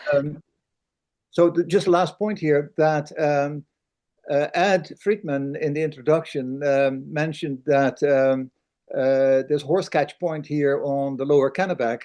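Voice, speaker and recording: A man's voice: fairly high pitch, steady tone, slow pace, a little mellow. Older man, rambling question. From Netherlands. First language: Dutch. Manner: rambling, many fillers. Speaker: audience member asking a question. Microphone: video call.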